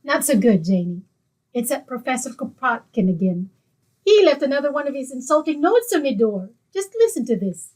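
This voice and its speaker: Radio comedy neighbour played by a woman: middle-aged, feisty, indignant gossip with a high voice. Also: very animated, moderate pace, a little mellow, poor audio. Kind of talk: old-time radio comedy acting.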